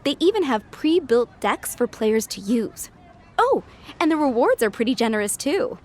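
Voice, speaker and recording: A woman's voice: a little high, big pitch swings, moderate pace. A woman voicing a friendly townswoman in a video game, giving event news in an informative, easygoing way. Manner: friendly, informative, light laugh. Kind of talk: game dialogue.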